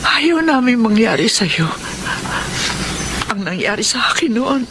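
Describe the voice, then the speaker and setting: A woman's voice: on the high side, big pitch swings, measured pace, heavy background noise. A woman playing an anxious, protective middle-aged mother in an emotional Filipino radio melodrama. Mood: solemn, tender. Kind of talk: Filipino radio drama acting.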